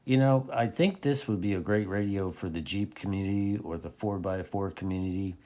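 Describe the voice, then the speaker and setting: Low-pitched man, dark voice, casual talk. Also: fairly quick. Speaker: CB radio hobbyist presenter. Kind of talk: casual product recommendation talk.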